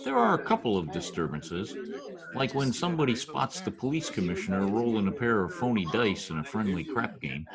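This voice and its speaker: A man's voice: mid-range voice, poor audio. A man playing a wry, slangy, nervous narrator in an amateur old-time radio play. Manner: slangy, deliberately formal wording. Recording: crowd chatter behind, online call recording.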